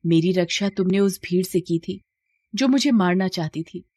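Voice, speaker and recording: A woman's voice: on the low side, moderate pace, darkish tone, no echo. A woman voicing a shape-shifting serpent in a moral story, solemn, earnest and grateful.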